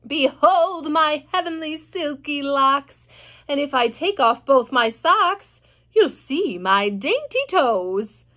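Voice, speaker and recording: A woman's voice: high-pitched, lively intonation, voice pushed up, heavily acted. Woman doing a preening, bossy aunt. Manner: slow, loud, preening, bossy. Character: vain, boastful, bullying aunt, grown woman. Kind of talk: storybook reading.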